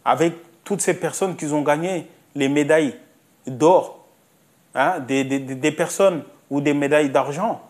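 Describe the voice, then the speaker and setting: A man's voice: a little high, measured pace, a little mellow. A man delivering a spoken opinion monologue, insistent, repeating himself and asking rhetorical questions. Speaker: commentator.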